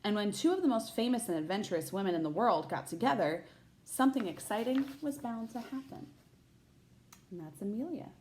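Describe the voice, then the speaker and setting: A woman reading a children's picture book aloud like a teacher, pausing to put questions to young listeners. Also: medium pitch, animated, a little mellow, reverberant, slight background noise. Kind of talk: expressive read-aloud of a children's picture book with chatty asides.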